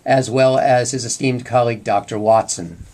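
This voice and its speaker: A man talking in a halting, measured way as a theatre producer promoting a show in a radio interview. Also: medium pitch, steady tone, a little mellow, slight background noise.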